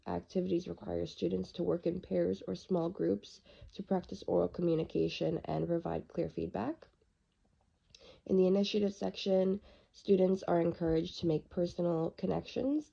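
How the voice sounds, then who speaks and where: A young woman who is losing her voice, with a raspy tone, reading through her unit plan for a class presentation. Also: lowish voice, warm tone. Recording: dull lo-fi recording.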